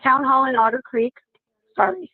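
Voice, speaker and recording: Husky, creaky woman on a phone line. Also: high-pitched, animated, moderate pace, dry recording. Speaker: caller to a sheriff's office. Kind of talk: phone call report. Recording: tinny phone line recording.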